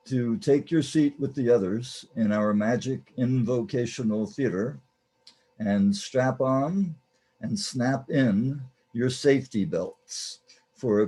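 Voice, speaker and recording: A man hosting and narrating a radio play introduction in a deliberate, formally theatrical manner. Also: mid-range voice, mellow. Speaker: host, narrator.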